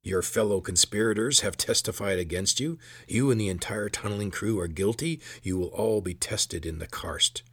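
A man voicing a gruff, stern, curt sergeant of the palace guard in a fantasy story. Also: normal speed, few pauses, fairly bright tone, dry recording. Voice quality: gruff, rumbling. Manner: curt, authoritative.

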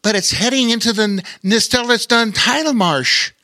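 A man voicing a young sailor in a children's story, who speaks fast and urgently. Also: very high-pitched, lively intonation, pushed and bright, dry recording, studio quality, voice pushed up, exaggerated voice. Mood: worried.

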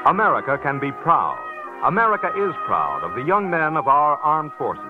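A man announces in old-time radio style. His voice is on the high side and moves with lively intonation. The broadcast recording is thin, with music underneath. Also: normal speed, narrow, tinny audio. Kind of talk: 1940s scripted radio announcing.

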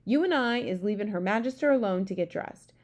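Woman voicing a big gentle storybook giant, muddled grammar, courtly, mellow. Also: mid-range voice, expressive, fairly quick, close to an everyday voice. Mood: earnest. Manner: garbled grammar, grand humble tone. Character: huge kindly male giant, mangles words.

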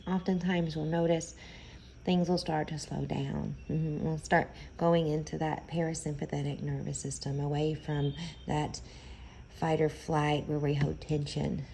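A woman leading a guided meditation in a soothing, gentle, unhurried manner, pausing often between phrases. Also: sits low, vocal fry, a little hiss or hum.

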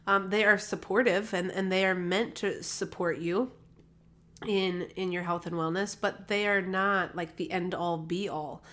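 Chatty podcast host with a stuffy nose. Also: female voice, lowish voice, steady tone, measured pace, fairly bright tone. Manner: chatty, rambling with many fillers and restarts. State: slightly nasal, recovering from a cold. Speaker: podcast host, holistic health practitioner. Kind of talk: casual solo podcast talk. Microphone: podcast microphone.

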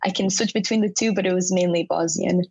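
A woman's voice: fairly low pitch, steady tone, fast, darkish tone, breathy edge, no echo. A young woman from the United States, answering interview questions in a chatty, casual way and laughing often. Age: young adult.

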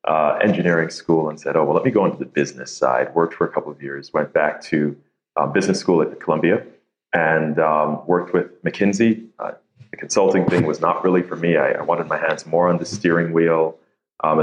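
A man's voice: extremely low pitch, steady tone, brisk, roomy sound, highs cut off. A man from Nigeria and the Bahamas, a podcast guest telling his story casually, self-deprecating and laughing easily, often repeating words as he starts. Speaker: podcast guest, tech founder.

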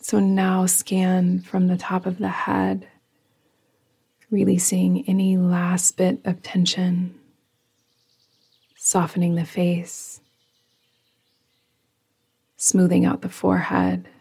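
A woman guiding a yoga nidra meditation in a soft, soothing, hushed voice that often trails into a breathy whisper. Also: on the low side, little pitch movement, slow pace, pauses often. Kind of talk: guided meditation, slow and soothing.

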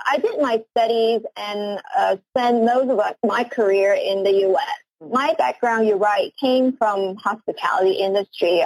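A woman's voice: mid-range voice, vocal fry, a little mellow, dry recording, poor audio. A woman in her forties from Hong Kong, a company CEO answering interview questions earnestly, often repeating her first words. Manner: earnest, eager, repeats words when starting sentences.

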